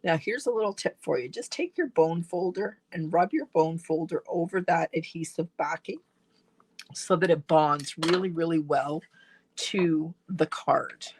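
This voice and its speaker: Hoarse, husky-voiced woman craft teacher. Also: deep voice, a little slow, low-quality recording. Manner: chatty, talks to viewers while working with her hands. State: hoarse, losing her voice. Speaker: independent stamping demonstrator. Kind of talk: step-by-step craft tutorial. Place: at a craft table.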